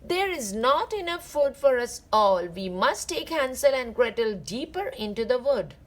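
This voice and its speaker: A woman's voice: fairly high pitch, sing-song, a little slow. A woman reading the part of a cruel, insistent fairy-tale stepmother in a children's storybook.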